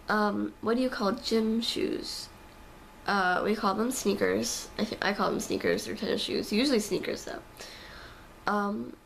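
A woman's voice: mid-range voice, vocal fry, a little hiss or hum, low-quality recording. A teenage American girl chatting casually to her webcam from her bedroom, rambling with lots of fillers. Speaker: vlogger. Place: bedroom, indoors. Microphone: webcam at close range.

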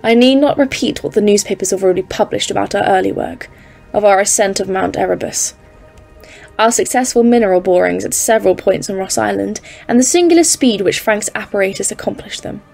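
A woman's voice: medium pitch, a little fast, vocal fry, darkish tone, faint music behind. A woman playing a composed expedition leader, narrating a polar journal in a scripted audio drama. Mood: measured recounting. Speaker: narrator and lead character.

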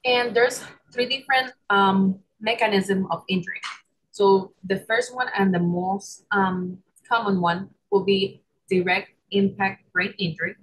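A woman's voice: medium pitch, steady tone, a little slow, a little hiss or hum, low-quality recording. A young woman with a Puerto Rican Spanish accent, a chiropractor giving an online lecture over a video call, explaining with frequent ums and restarts. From Puerto Rico. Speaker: chiropractor presenter.

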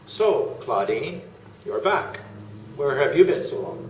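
A man's voice: fairly high pitch, very animated, takes it slowly. A middle-aged man doing a dramatic reading as a poor old bankrupt miller, theatrical and plaintive, in a stage melodrama. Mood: questioning. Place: room in a historic house, reading from paper. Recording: echoey room, background hiss.

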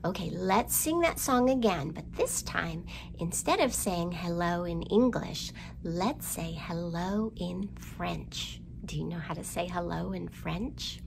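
A middle-aged woman hosting storytime for young children, with an expressive, sing-song delivery, recorded indoors a short way from the microphone. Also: fairly low pitch, slow, creaky, background noise. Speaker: children's library storytime host. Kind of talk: storytime rhymes and picture book reading for young children. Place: indoors in a library room.

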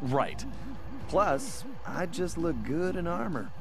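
A man's voice: fairly high pitch, lively intonation, slow pace, vocal fry, exaggerated voice. A man voicing a suave, cocky young raccoon thief in a wry, confident cartoon game style. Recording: music behind the voice.

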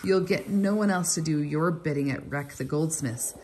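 A woman's voice: low voice, lively intonation, normal speed, gravelly, slight background noise. A woman voicing a whining, obsequious older male Babylonian servant in a storybook reading. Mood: spiteful. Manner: wheedling, obsequious.

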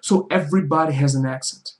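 Brazilian man explaining slowly to camera. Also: on the high side. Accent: Brazilian Portuguese. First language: Brazilian Portuguese. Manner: explaining, with many restarts and drawn-out words. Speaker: language learning vlogger. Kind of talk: casual talk to camera.

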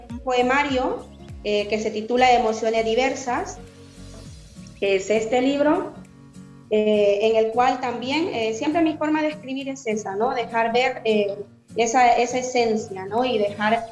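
A woman's voice: a little high, fairly even intonation, measured pace, darkish tone. A woman in her thirties from Honduras, an author speaking as a guest over a video call, thoughtful and hesitant, with many fillers and restarts. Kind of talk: remote interview. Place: indoor room at home. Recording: roomy video call with faint music.

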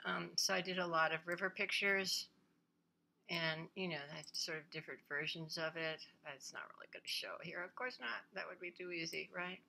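A mature woman talks casually and a little haltingly, wandering as she presents her own work. Her voice sits on the low side, with a bright, forward edge and a strong creak. It has the informal feel of a teacher introducing herself over a screen share. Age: middle-aged or older. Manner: hesitant, rambling, casual. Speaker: fine art photographer and photography teacher. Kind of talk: informal self-introduction and portfolio walkthrough.

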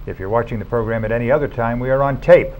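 A man's voice: mid-range voice, rapid-fire, darkish tone, low-quality recording. An older American man in his seventies presenting a live call-in television show as host. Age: older, 70s. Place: small TV studio. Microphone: lapel mic.

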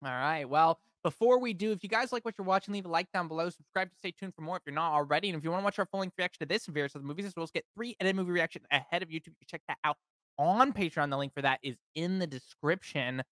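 Young man, reaction co-host, rambling, filler-heavy, bright tone, close dry mic. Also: on the high side, rapid-fire, dry recording. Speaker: co-host of a movie reaction channel. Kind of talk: casual reaction chat. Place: small home room, indoors. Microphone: studio mic on a stand, headphones.

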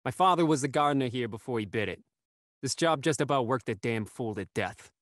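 A man's voice: mid-range voice, animated, a little fast, fairly bright tone, no room sound, clean studio sound, lightly acted. A man playing a bitter working-class gardener and war veteran in a radio mystery, curt and resentful. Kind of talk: radio mystery acting, live online table read.

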